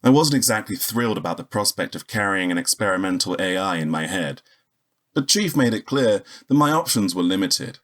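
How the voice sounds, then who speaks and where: Low-voiced, wry space spy. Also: male voice, fairly quick. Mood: wry narration. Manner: wry, deadpan. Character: cocky, weary male interplanetary spy, sardonic loner. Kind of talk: noir-style narration and dialogue in sci-fi audio drama.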